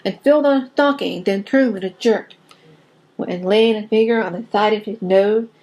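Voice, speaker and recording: A woman reading a children's Christmas poem aloud as an amateur storyteller, in an expressive, informal way. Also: mid-range voice, normal speed, rough recording.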